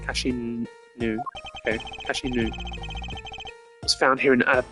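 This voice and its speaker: A man's voice: mid-range voice, level tone, a little slow, over music. A man streaming a game, making informal, casual asides as he reads it aloud. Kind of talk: casual live playthrough reading.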